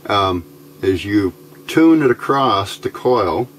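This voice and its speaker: A middle-aged man giving a casual hands-on tutorial, speaking in an unhurried, halting way as he thinks aloud. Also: lowish voice, animated, slight background noise. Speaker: electronics hobbyist host. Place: indoor workbench, hands filmed from overhead.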